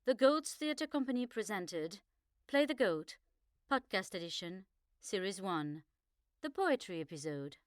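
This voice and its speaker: A woman delivers a scripted reading in an unhurried, deliberate manner. Her pitch moves with lively variation, and the sound is very dry, with no room around it. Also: dry recording. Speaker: podcast presenter and poetry reader. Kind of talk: poetry recital and scripted announcements.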